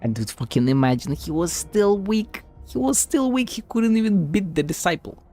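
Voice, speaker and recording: A man's voice: sits high, expressive, moderate pace, mellow. A man streaming a game and giving casual live reactions, slangy and sweary as he thinks aloud.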